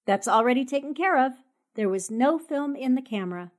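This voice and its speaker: A woman's voice: high-pitched, expressive, a little fast. A woman playing a breezy, teasing, self-assured newspaperwoman in a 1940s radio drama. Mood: cool.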